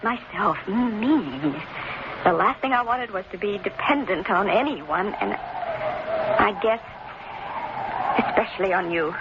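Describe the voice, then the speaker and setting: A woman's voice: mid-range voice, animated, takes it slowly, long pauses. An anxious, searching young-hearted wife in an old-time radio play. She speaks earnestly and hesitantly, through a dull old broadcast recording buried in heavy noise. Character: anxious, searching wife trapped with her husband.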